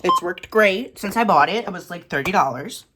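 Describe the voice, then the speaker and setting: A woman's voice: lowish voice, expressive, normal speed, pushed and bright, slight background noise. A woman giving a casual, matter-of-fact show-and-tell of a hobby collection in short, clipped phrases, recorded indoors at home.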